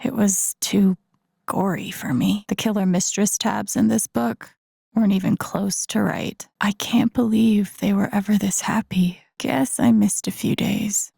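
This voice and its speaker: A young woman in a video game, quietly turning over a hard past. Her voice is soft and husky, often breathy, with a gravelly fry. She speaks in a subdued, confiding inner monologue, recorded very dry. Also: medium pitch, fairly even intonation, a little slow, no echo, exaggerated voice. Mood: wry, wistful. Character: pensive, wounded young woman recalling a hard childhood.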